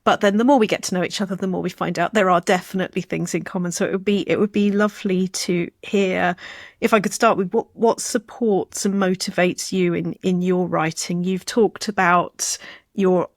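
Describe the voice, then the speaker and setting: A woman's voice: on the low side, fairly even intonation, moderate pace, dry recording, studio quality. A woman hosting an interview in a conversational, encouraging and reflective manner. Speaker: interview host.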